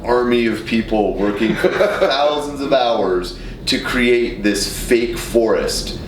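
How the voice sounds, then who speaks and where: A man hosting a podcast in casual chat, halting and repeating words as he thinks. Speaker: podcast host. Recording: echoey, distant mic, noisy with rumble.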